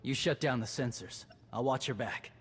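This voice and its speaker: A man's voice: medium pitch, normal speed, background noise, close to an everyday voice. A man playing a young clan leader who speaks in short, clipped, tired sentences. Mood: terse. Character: young male clan leader, weary, honor-bound.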